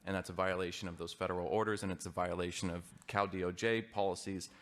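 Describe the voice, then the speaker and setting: Low-voiced man explaining in formal testimony. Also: fairly even intonation, fast, a bit bright. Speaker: police association representative. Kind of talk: formal public testimony at a legislative hearing.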